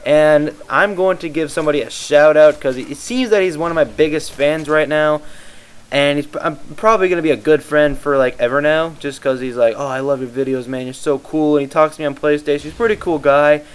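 A man's voice: fairly high pitch, steady tone, a little fast, clean tone. A tired young man from the Midwest rambling casually as he commentates over gameplay, often losing his train of thought. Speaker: YouTube gaming commentator.

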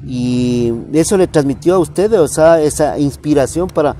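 A middle-aged man interviewing a former footballer, asking questions and giving short prompting replies, seated outdoors on a football pitch. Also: normal speed, dark tone, a little hiss or hum. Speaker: sports interviewer.

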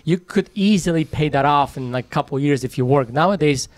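A man in his thirties talking animatedly in a casual podcast chat about money, recorded on a boom mic in a small studio. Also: fast talker, dry recording. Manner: animated, talks with his hands, laughs often. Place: small studio with brick wall. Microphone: boom mic on arm.

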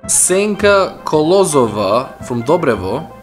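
A man's voice: on the high side, slow, soft background music. Enthusiastic vlogger man in a roomy living room. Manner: lively, enthusiastic storyteller. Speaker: video host. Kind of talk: casual explainer vlog. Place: living room, on a couch indoors.

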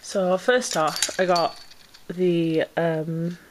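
A woman's voice: fairly low pitch, fairly even intonation, drawn-out words, noisy background. A woman chatting casually as she unboxes pet supplies at home, a little congested from a cold and rambling with ums. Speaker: pet vlogger. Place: indoors at home, camera pointed down into a box.

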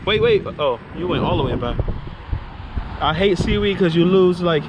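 A man giving excited, slangy running commentary in casual chat while fishing. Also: high voice, normal speed, dull audio. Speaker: fishing vlogger. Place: outdoors on a bridge over the sea. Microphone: head or chest-mounted action camera. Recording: loud wind and water noise.

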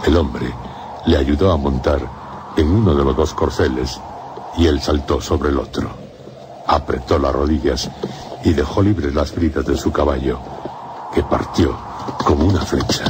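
A man narrating a gothic horror radio drama in a solemn, measured way. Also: extremely low pitch, background noise. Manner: solemn, measured storytelling in short phrases. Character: grave male narrator of a gothic horror tale. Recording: music under the voice.

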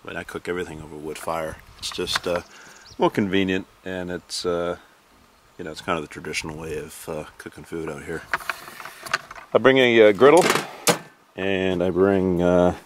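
A man in his forties with a very deep, low voice talks his way through camping gear at a lakeside campsite. He lists items in a relaxed, meandering way, filling gaps with "uh" and "you know". Handheld camera audio with clear outdoor noise behind him. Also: moderate pace. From Maine, US. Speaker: canoe trip vlogger. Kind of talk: casual gear walkthrough.

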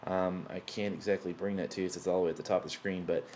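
Man, lowish voice, casual screen-recording tutor, built-in laptop mic with faint hiss, lots of ums. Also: fairly even intonation, fast, a little mellow. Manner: rambling, many fillers and restarts. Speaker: tutorial presenter. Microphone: built-in laptop microphone.

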